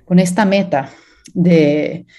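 A woman opera stage director raised in Pennsylvania, answering interview questions thoughtfully with many pauses and restarts. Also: on the low side, warm tone. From Pennsylvania, USA.

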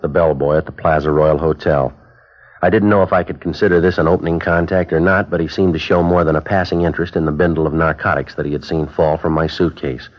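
An actor playing an undercover police detective in a 1950s radio drama, speaking in a clipped, flat, matter-of-fact way. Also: male voice, rumbling low, fast talker. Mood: calm narration. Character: undercover police detective posing as buyer, terse. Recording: dull lo-fi old radio broadcast.

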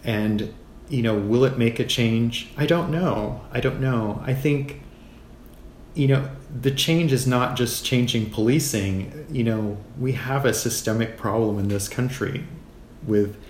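American man, medium pitch, thoughtful interview talk, phrase-by-phrase pacing, roomy echo, faint noise. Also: unhurried. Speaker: podcast guest, physician.

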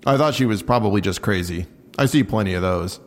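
A man's voice: lowish voice, fairly quick, lightly acted. An actor playing a guarded male patrol officer in a naturalistic audio drama, blunt, offhand and reluctant to talk. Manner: offhand, reluctant, plain-spoken.

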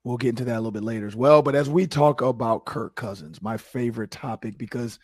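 A man hosting a sports talk show, his voice hoarse from shouting, talking energetically. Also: mid-range voice, quick pace, dry recording, clean studio sound. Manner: animated, energetic opinions. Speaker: host.

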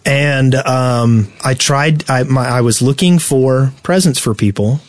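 Male podcast co-host, mid-pitched, jokey and animated, casual gaming banter, dry recording. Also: slow pace, dry recording.

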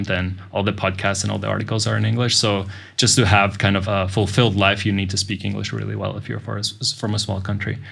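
Gravelly low-voiced man. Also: a bit bright. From Latvia. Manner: measured, explanatory, frequent "and, uh" restarts. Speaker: podcast guest. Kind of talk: casual interview talk.

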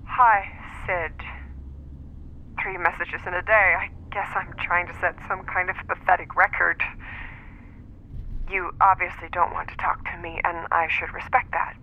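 A vulnerable, earnest woman on a voicemail in an audio drama. The sound is dull and lo-fi, and her pitch stays fairly flat. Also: a little slow. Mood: earnest, wistful. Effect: voicemail filter. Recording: muffled phone voicemail, faint noise.

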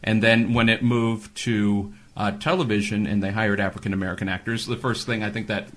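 A man co-hosting a talk show and working as a voice actor, explaining history in a steady, storytelling way. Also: lowish voice, brisk. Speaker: co-host, voice actor.

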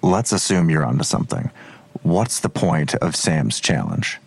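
A man's voice: extremely low pitch, sing-song, measured pace, vocal fry, a little mellow, breathy edge, exaggerated voice. An actor playing a skeptical police detective in an audio drama, terse and probing in his questions. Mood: challenging, doubtful. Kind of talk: naturalistic audio drama dialogue.

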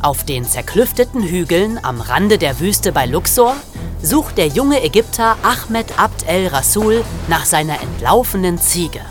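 A man's voice: sits high, very animated, measured pace. A young man co-hosting a podcast, telling scripted stories dramatically for young listeners. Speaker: podcast co-host. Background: music.

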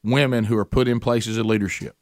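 Man, talk show host, political commentary, halting, mid pitch, dry studio sound. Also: fairly even intonation, dry recording. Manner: halting, full of restarts and fillers.

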